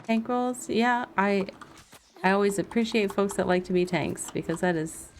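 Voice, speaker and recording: A woman's voice: mid-range voice, moderate pace, a little hiss or hum. A woman chatting casually and informally as she livestreams a video game, laughing easily. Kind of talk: casual livestream gameplay chat.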